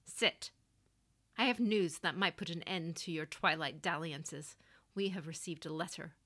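A woman's voice: sits low, unhurried, a bit bright. Severe older noblewoman and general, performed by a female narrator, blunt and commanding, fantasy audio story. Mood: curt, snapping.

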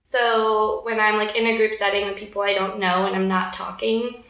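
A young woman guest talking casually, soft-spoken and hesitant, with a shy manner. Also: mid-range voice, level tone, normal speed, creaky, distant mic. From Germantown, Maryland area, US. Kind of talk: casual chat. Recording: echoey room, voice off-mic.

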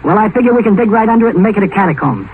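High-voiced, fawning huckster. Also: male voice, animated, very fast, slightly rough, exaggerated voice. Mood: eager pitching. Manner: salesy, obsequious, enthusiastic. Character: eager, fawning huckster cemetery manager. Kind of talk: mid-century radio drama acting. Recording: noisy, tinny 1950s radio broadcast.

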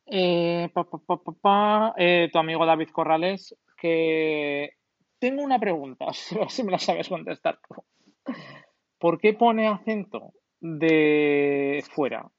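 A man in casual chat as a co-host, relaxed and asking questions. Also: fairly high pitch, slow pace, dry recording. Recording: dry, dull lo-fi sound.

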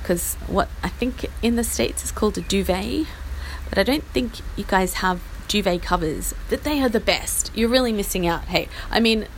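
An Australian woman in her forties, husky from a chest infection, rambling through a self-deprecating update from a small outdoor patio. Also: mid-range voice, animated, normal speed, slight background noise, low-quality recording. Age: 40s. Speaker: podcast host. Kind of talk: casual personal update. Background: faint rain.

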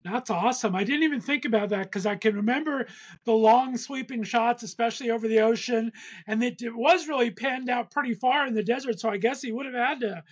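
A man hosting an interview in a casual chat, giving quick, encouraging reactions. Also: extremely high pitch, breathy edge. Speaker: interview host.

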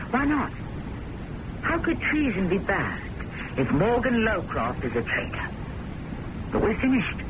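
An actress playing a resolute, accusing woman, dramatic and sarcastic, in vintage radio drama style on a noisy old recording. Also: fairly low pitch. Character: accusing, resolute woman who worships and defies her boss.

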